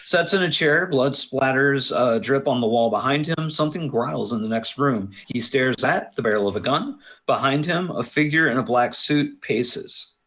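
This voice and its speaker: A man with a somewhat husky voice and a middle pitch reads screenplay narration aloud. He delivers it as stage directions at a table read, occasionally tripping on a word and going back over it. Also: animated, normal speed, few pauses, fairly bright tone. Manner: stumbles over and corrects words.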